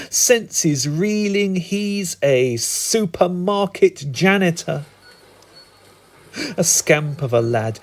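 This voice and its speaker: A British man reciting comic spoken-word poetry in a dramatic, whimsical, deliberate manner. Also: on the high side, a little mellow. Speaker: performance poet.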